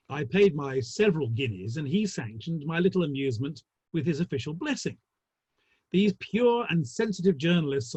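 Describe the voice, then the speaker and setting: A man playing a wry, urbane, gossipy Victorian theatre manager in a dramatic play reading, with arch, ornate delivery and a husky voice. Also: fairly high pitch, darkish tone, no echo, lo-fi sound. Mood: wry exasperation. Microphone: online call.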